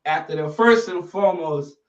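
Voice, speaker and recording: A man's voice: on the high side, normal speed, far from the mic. Man in his thirties, a bit off-mic. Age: 30s. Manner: loose, rambling, lots of you know what I'm saying. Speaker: podcast host. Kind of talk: casual conversation. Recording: dull lo-fi sound, off-mic.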